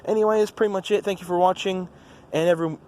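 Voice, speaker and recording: Teenage American boy vlogging over loud outdoor noise. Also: sits high, fairly even intonation, fast. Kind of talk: casual phone vlog recapping the day. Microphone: phone. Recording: noisy outdoor phone recording with traffic.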